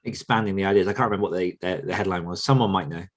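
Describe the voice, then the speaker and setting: A man's voice: low voice, very fast. A man hosting a podcast, talking casually as he leads a group interview. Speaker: podcast host.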